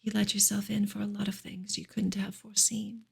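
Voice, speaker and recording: A woman gives a soft ASMR reading of a book, breathy and often close to a whisper, in a gentle, measured way. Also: mid-range voice, little pitch movement. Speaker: streamer reading a novel aloud.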